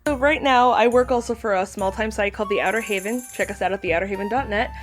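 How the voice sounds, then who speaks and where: A woman's voice: medium pitch, fast talker, soft background music. A talkative woman chatting casually as a podcast guest, with a playful, self-deprecating way of talking. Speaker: podcast guest, pop culture commentator. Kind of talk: casual chat.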